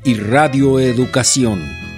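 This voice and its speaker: A man's voice: medium pitch, lively intonation, slow, soft background music. A man with a Mexican accent reading scripted programme credits as a radio announcer.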